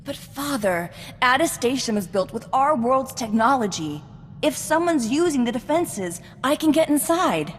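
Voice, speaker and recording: An actress playing an eager, headstrong young daughter in a video game. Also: female voice, high voice, lively intonation, normal speed, big-room sound, slight background noise. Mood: eager, determined.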